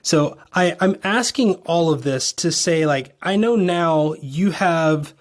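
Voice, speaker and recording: A podcast host whose voice is a little raspy, tired from too little sleep. The host talks at a middling pitch and builds long, enthusiastic questions in a relaxed conversational way. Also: slow pace. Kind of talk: conversational interview.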